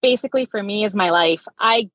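A woman's voice: medium pitch, a little fast, fairly bright tone, no room sound. A woman from Miami speaking on a panel as an educator and researcher, earnest and personal. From Miami, Florida. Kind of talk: conversational panel answer.

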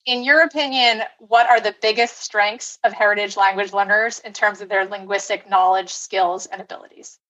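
A woman's voice: mid-range voice, steady tone, moderate pace, few pauses, bright, forceful tone, dull audio. A woman moderator reading guest introductions and asking questions in a formal, polite manner.

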